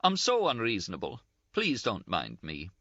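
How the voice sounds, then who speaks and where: A man voicing a tearful, scolding young Scottish nurse, shrill and sobbing in an audiobook. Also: huge pitch swings, moderate pace, pushed and bright, dry recording, lo-fi sound, heavily acted. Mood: distraught. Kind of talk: audiobook character voice.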